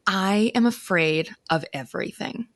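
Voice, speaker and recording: A woman's voice: mid-range voice, lively intonation, measured pace, no room sound, studio quality. A woman speaking as a podcast guest, telling stories casually and emphatically and acting out what people said. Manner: emphatic, informal, acts out quoted speech. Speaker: podcast guest, travel writer. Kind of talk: animated casual storytelling.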